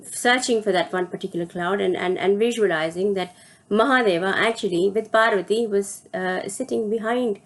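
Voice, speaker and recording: A woman from India, hosting her own spiritual channel and telling a personal story in a reflective, halting way. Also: medium pitch, rough recording. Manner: reflective, halting, searching for words.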